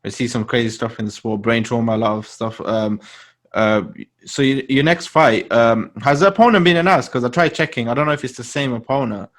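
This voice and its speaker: A man hosting a casual interview, relaxed and agreeable, with lots of ums and uhs. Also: medium pitch, a little fast. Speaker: podcast host, interviewer.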